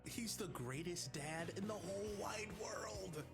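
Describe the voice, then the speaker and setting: A man's voice: high-pitched, unhurried. A man playing a childlike, sweet, naive grown man in a live comedic table read of a film script. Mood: wistful. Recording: music playing behind the voice.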